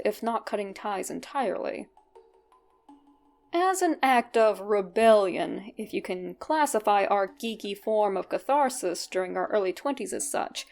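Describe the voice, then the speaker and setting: A woman with a fairly high voice narrates a personal story from a script. She keeps it conversational, dropping in wry little asides as she goes. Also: a little mellow.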